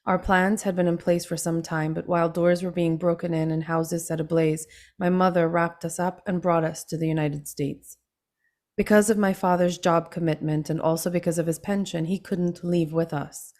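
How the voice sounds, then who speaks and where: Low-voiced Trinidad-born woman reading her memoir. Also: level tone, normal speed, a little mellow. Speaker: author reading and answering. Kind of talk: reading from her memoir, then panel answers.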